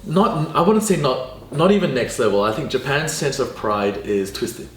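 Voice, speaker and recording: Young guy reacting w his buddy, keeps agreeing, talks fast, roomy echo. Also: mid-range voice, slight background noise. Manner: animated, agreeable, quick to chime in. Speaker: reaction video host. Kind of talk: casual reaction chat. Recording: echoey room.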